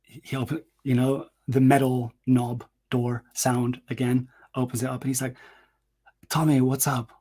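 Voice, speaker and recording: A man's voice: medium pitch, normal speed. Rambling man in his forties telling a story. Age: 40s. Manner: rambling, profane, animated, with frequent restarts and fillers. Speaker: vlog host telling a personal story. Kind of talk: casual storytelling monologue.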